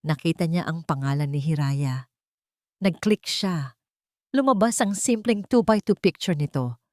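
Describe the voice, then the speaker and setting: A woman's voice: deep voice, lively intonation, a little slow, darkish tone, no room sound, clean studio sound. A woman reading a scripted love story as a dramatic narrator.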